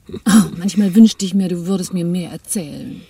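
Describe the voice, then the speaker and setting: A smuggler's curious companion in a radio drama. Her voice is lowish, dark and husky, and she needles playfully. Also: exaggerated voice. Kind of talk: German radio drama acting.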